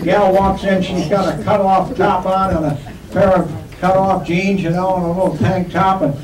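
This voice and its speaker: A man's voice: sits high, level tone, moderate pace. A man in his seventies from Western New York telling jokes at a banquet, laughing through his punchlines. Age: 70s. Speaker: retiring sports broadcaster giving a banquet speech. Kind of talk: after-dinner joke telling. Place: banquet hall. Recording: echoey hall from far off, loud crowd and noise.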